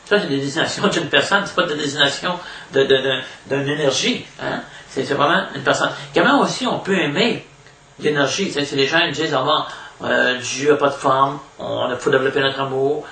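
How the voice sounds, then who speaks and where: A man with a Québécois French accent giving an informal spiritual lecture as a devotional preacher, haltingly, repeating words and adding little question tags. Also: mid-range voice, creaky, sharp tone. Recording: echoey room, distant mic, faint noise.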